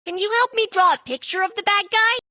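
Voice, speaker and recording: A woman's voice: very high-pitched, fast, bright, forceful tone, dry recording, studio quality. A woman voicing a small, eager, childlike animal police helper in a children's cartoon, speaking in simple exclamatory phrases. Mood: eager, urgent. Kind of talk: scripted children's cartoon dialogue.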